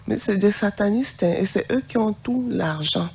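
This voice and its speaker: Gravelly woman preaching with passion. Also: mid-range voice, animated, normal speed, darkish tone, a little hiss or hum. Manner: impassioned, indignant preaching tone. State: has the flu. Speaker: co-host, pastor's wife.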